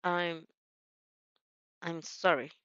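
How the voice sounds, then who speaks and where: A woman's voice: fairly low pitch, steady tone, extremely slow, a bit bright, smooth, dry recording, lo-fi sound. A woman voicing a bitter, insecure teenage boy who is defensive and mocking, in an audio fanfiction reading. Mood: regretful. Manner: mocking, muttered, venomous.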